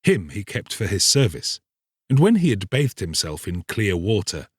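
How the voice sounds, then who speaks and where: A man narrating a literary tale from a script, expressive and deliberate. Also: on the low side, breathy edge, no echo, clean studio sound. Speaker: audiobook narrator.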